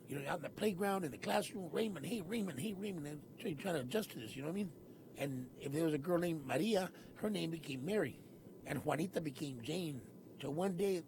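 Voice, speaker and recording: A man casually telling a childhood story in a relaxed, conversational way. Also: a little high, creaky, a little hiss or hum. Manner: relaxed, conversational, lots of "you know what I mean". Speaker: storyteller in a video clip. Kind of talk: casual anecdote.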